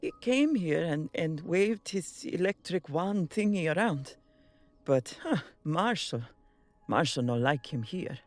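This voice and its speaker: A jittery, superstitious woman, acted by a woman, in an audio drama, talking slowly in halting, broken English. Faint music plays behind her. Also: sits low, expressive. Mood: fretful. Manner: nervous, halting, broken English with Spanish words. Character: frightened superstitious woman, café worker. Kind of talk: audio drama table read.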